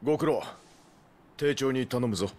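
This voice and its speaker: A man's voice: medium pitch, moderate pace, darkish tone, close to an everyday voice. A man playing a loyal resistance knight in a Japanese video game, speaking formally with deference and earnest conviction. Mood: businesslike.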